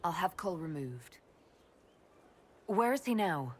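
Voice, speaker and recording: Casting: female Inquisitor, steady commander, questioning and to the point, voice a little low. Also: unhurried. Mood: firm, wary. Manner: firm, direct, questioning. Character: resolute female Inquisitor leader. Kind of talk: video game dialogue acting.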